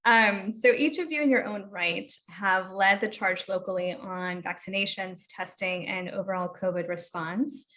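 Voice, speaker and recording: A woman moderates a panel discussion. Her voice sits at an average pitch for a woman. She speaks as the one who hands the floor to each guest.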